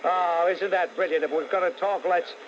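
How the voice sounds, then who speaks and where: A man with a New York accent hosts a combative call-in show, blunt and openly sarcastic toward his callers. His voice sits high for a man. The recording is a muffled old radio broadcast with a faint hiss behind it. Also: normal speed. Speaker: talk radio host.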